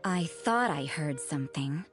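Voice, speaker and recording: An actress playing an aloof, cynical church sister in a video game, with a dry, sardonic and watchful manner. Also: female voice, low voice, lively intonation, a little slow. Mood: cool, suspicious. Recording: game music under the voice.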